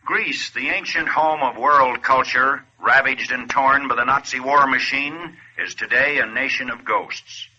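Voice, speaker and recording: Muffled vintage radio: grave announcer, very bright and forceful, solemn declamation. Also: male voice, mid-range voice, measured pace, hardly any pauses, breathy edge, heavily acted. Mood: somber indignation. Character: grave, forceful male wartime appeal announcer. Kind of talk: 1940s dramatic radio narration.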